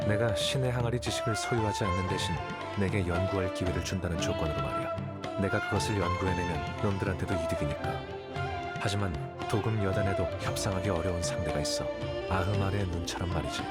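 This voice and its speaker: Guy voicing a low calm aloof scholar dude from a video game, matter of fact, calculating, music playing behind. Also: lightly acted. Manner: composed, matter-of-fact, measured explanations. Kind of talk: scripted game dialogue, Korean voice acting.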